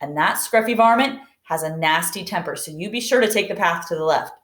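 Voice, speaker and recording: A woman voicing patient rabbit parents in a storybook, giving deliberate instructions and warnings to their son. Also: on the low side, fairly bright tone, reverberant, natural voice.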